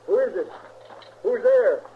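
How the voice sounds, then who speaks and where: An actor playing a grieving, worn older rancher father with a rural western American accent, halting and folksy, in a radio drama. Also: very high-pitched, lively intonation. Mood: anxious. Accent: rural American West. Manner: folksy, halting, heavy-hearted. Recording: muffled, noisy old radio recording.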